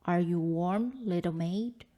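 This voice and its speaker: A woman voicing an old male winter spirit from a folktale, gentle and deliberate as he questions. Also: fairly low pitch, voice pushed down. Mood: solemn, probing.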